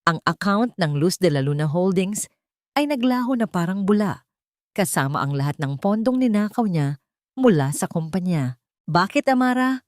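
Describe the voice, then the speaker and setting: Low-voiced woman narrating a dramatic story, dry recording. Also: animated, normal speed, darkish tone, dry recording. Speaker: audiobook narrator.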